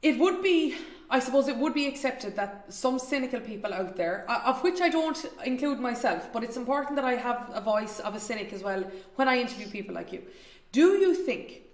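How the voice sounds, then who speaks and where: A woman's voice: fairly high pitch, animated, brisk, slight background noise. Woman host in her 30s, rambling questions, roomy home video-call sound. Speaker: interview show host. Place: home room, indoors.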